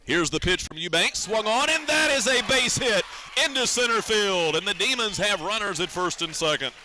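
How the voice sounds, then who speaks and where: A man calling baseball play-by-play on the radio in a live sports commentary style, with measured, deliberate phrasing. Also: high-pitched, expressive, background noise.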